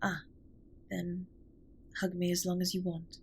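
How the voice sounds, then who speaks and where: A woman playing a formal, earnest, caring alien spaceship captain in a scripted comfort roleplay, speaking softly and breathily with measured, precise wording. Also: on the low side, completely flat delivery, a little hiss or hum. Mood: tender, pleased. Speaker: audio roleplay performer. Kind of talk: scripted comfort roleplay, intimate one-sided dialogue.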